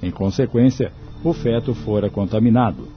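A man's voice: on the low side, normal speed. A man reading scripted narration for a radio drama. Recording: dull, lo-fi radio recording, music behind. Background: faint music.

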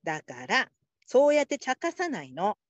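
A woman playing a patient, supportive call-centre supervisor in a radio drama, calm and politely instructive. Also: a little high, moderate pace, no echo, highs cut off. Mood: composed, firm. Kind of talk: Japanese radio drama acting.

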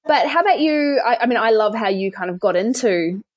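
A woman's voice: on the high side, expressive, brisk. A woman, hosting a casual, conversational interview. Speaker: interviewer, host.

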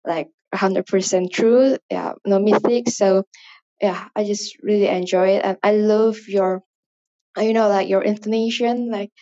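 A woman's voice: medium pitch, fairly even intonation, unhurried, warm tone, highs cut off. A woman from Indonesia with an Indonesian accent, English not her first language, leaving a rambling, hesitant voice message full of fillers. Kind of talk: casual spoken voice message.

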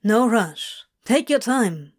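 Awkward stammering demon man, voiced by a woman, slow and hesitant. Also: a little high, lively intonation. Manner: halting, mumbling, stammering. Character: lanky laid-back male demon, awkward.

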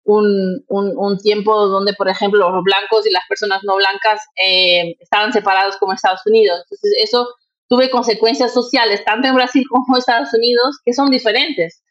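A woman's voice: medium pitch, steady tone, moderate pace, rough recording. A woman with a Brazilian accent and Portuguese as her first language, answering interview questions conversationally, thinking aloud with frequent tag questions. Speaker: interview guest, nonprofit executive director.

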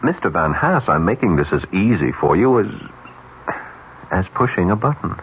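A man's voice: sits low, very animated, normal speed. A male actor playing a brash, domineering advertising executive in a 1970s radio drama, blunt and pushy. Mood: cool, calculating. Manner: blunt, pushy, clipped sarcasm. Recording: dull, faintly noisy old radio recording.

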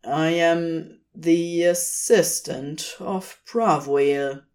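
A woman plays an angelic administrative drone, low-pitched and very slow. Every phrase is intoned with solemn, formal blankness, as stiff storybook officialdom with no feeling at all. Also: darkish tone. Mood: solemn, blank.

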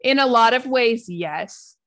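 A woman's voice: on the high side, animated, unhurried, a bit bright. A woman with an American accent co-hosting a casual podcast, thinking aloud and laughing often. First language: English. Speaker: podcast co-host.

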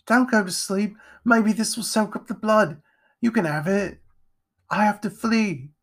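A man voicing a frightened, remorseful young boy thief with rough, ungrammatical speech in an audiobook. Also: high voice, steady tone, slow pace, fairly bright tone, voice pushed up. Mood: desperate, sorry. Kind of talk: audiobook character voice.

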